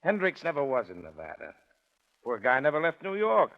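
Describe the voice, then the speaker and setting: An actor playing a wry, knowing police detective, explaining with irony in 1940s radio acting style. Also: male voice, a little high, wildly swooping pitch, fairly quick. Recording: muffled 1940s radio recording.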